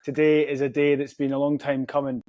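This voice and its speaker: A man's voice: medium pitch, level tone, fairly quick, a little mellow, dry recording, highs cut off. A man hosting a casual podcast chat, jokey and chatty with plenty of fillers and restarts. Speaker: podcast host.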